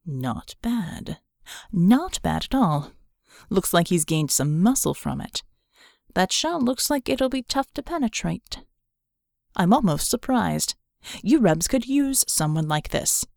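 A woman's voice: mid-range voice, very animated, slow pace, creaky, darkish tone, dry recording, clean studio sound, exaggerated voice. A woman voicing a cool, condescending male mercenary in an audiobook, businesslike and disdainful. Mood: appraising.

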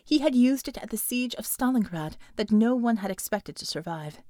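A woman's voice: on the high side, animated, moderate pace, dark tone, dry recording, studio quality. A woman narrating a scripted dramatic action story as an audiobook reader.

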